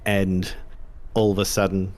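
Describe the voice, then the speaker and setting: A man narrating a tabletop game as game master, halting and searching for words. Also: deep voice, level tone, a little mellow.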